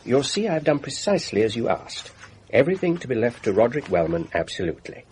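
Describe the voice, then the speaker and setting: A man's voice: mid-range voice, big pitch swings, fast, darkish tone, a little hiss or hum, close to an everyday voice. A man playing a courteous, precise family solicitor with a formal, deferential manner in a radio mystery drama. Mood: businesslike, courteous.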